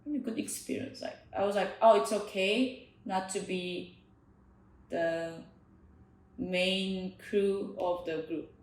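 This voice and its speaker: A woman's voice: medium pitch, fairly even intonation, slow, echoey room, low-quality recording. A young woman whose first language is Korean, co-hosting a casual podcast chat with many "like" fillers. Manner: chatty, frequent "like" fillers. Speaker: podcast co-host.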